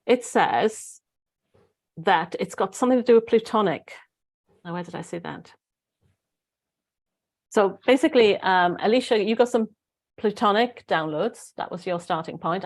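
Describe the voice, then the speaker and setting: A woman with a Welsh accent hosting a casual spiritual group discussion in an informal, rambling way. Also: lowish voice. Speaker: host.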